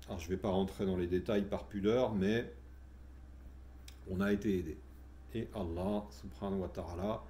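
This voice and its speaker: A man's voice: sits low, warm tone, a little hiss or hum. A middle-aged man telling his personal story in a casual, hesitant way, with many fillers and restarts. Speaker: vlogger telling his spiritual journey.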